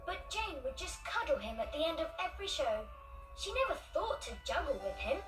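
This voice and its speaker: Female children's storyteller, very lively intonation, roomy distant sound with prominent music. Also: sits high. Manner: animated, deliberate storybook delivery. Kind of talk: expressive children's story narration. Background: loud music.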